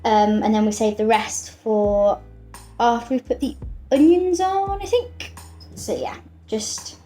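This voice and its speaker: Higher-voiced woman chatting in a roomy kitchen. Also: slow pace, dark tone. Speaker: home cook presenting a recipe. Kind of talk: casual chatty cooking demonstration. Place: home kitchen, indoors. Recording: roomy kitchen with music and clattering dishes.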